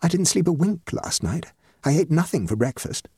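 A man's voice: fairly high pitch, expressive, normal speed, mellow, husky, no echo, studio quality, voice pushed up, exaggerated voice. A man voicing a middle-aged society hostess, an anxious matchmaking mother with an emphatic, effusive manner, in a comic story. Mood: distraught. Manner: emphatic, effusive, self-dramatizing. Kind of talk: comic short story reading.

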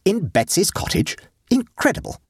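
A man's voice: high voice, sing-song, moderate pace, husky, no echo, studio quality, voice pushed up, exaggerated voice. A man playing a severe, pompous aunt. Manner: stern, lecturing, pompous.

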